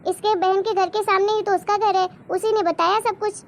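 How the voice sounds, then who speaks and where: A woman's voice: very high voice, steady tone, normal speed. A woman playing a meddling, disapproving neighbourhood auntie in a cartoon, gossipy and scolding. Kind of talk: Hindi cartoon moral-story dubbing.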